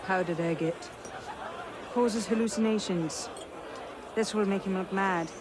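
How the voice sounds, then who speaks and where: A woman's voice: mid-range voice, close to an everyday voice. Actress as shrewd, decisive woman conspirator. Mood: cool, calculating. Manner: brisk, decisive, scheming. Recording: noisy outdoor crowd behind.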